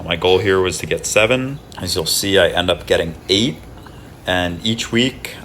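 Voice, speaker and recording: A young man in his twenties talking casually through his day in a vlog, with a flat, monotone delivery. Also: deep voice, slight background noise. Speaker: vlogger. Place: apartment kitchen table and backyard.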